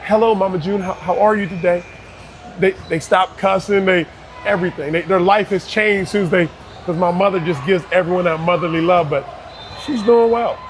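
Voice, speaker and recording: Guy in his late 30s from barbados, coach, high voice, keeps restarting words, faint room hum. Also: moderate pace. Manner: earnest, repeats and restarts words. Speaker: youth basketball coach. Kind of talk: casual interview conversation.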